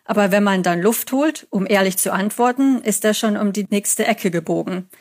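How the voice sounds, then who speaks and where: A woman's voice: mid-range voice, moderate pace, darkish tone. A German woman in her thirties, a psychology lecturer, answering an interview in a chatty way and telling stories with quoted examples.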